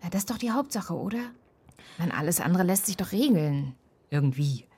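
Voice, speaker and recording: An actress playing an awkwardly friendly police officer in a naturalistic radio drama, questioning gently and hesitantly. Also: female voice, on the low side, animated, a little fast, breathy edge, no room sound, full character voice. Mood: reassuring.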